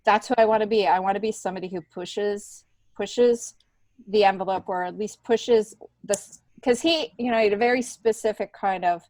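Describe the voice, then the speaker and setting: A middle-aged American woman, a stand-up comedian being interviewed, telling stories casually with an animated, emphatic delivery. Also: medium pitch, normal speed, no echo. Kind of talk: casual conversational storytelling.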